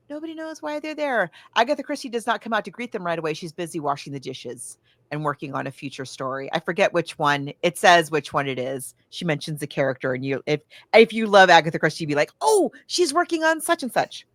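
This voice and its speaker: A woman's voice: medium pitch, expressive, a little fast, no echo. A woman podcast co-host casually recapping a film, thinking aloud and backtracking as she goes, slipping into casual quoted asides. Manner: acts out characters' lines in casual asides.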